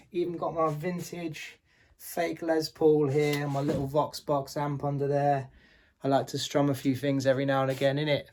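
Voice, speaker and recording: A man's voice: a little high, mellow, slight background noise. A man playing up a boastful YouTuber persona, touring his garage and giving test tips to pupils in a jokey, slangy way. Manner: slangy, boastful, jokey with repeated "innit" and "sick". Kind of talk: tongue-in-cheek mock-YouTuber garage tour and advice.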